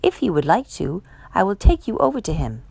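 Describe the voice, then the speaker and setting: A woman's voice: lowish voice, sing-song, brisk, gravelly, darkish tone, breathy edge, no room sound, heavily acted. A woman reading the part of a kindly, encouraging male cook in a children's storybook.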